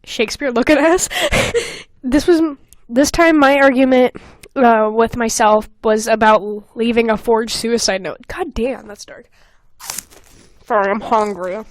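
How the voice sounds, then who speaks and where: A woman's voice: on the high side, measured pace, no room sound. A young adult woman hosting a podcast, casually reading old fan fiction aloud with giggly, sweary comic asides. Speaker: podcast host.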